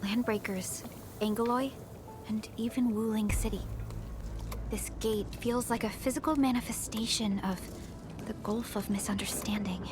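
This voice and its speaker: An actress playing a composed, vigilant female team leader in a sci-fi game, with a measured, authoritative manner. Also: a little high, fairly even intonation, noisy background, close to an everyday voice. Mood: calm, measured. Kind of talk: video game voice acting.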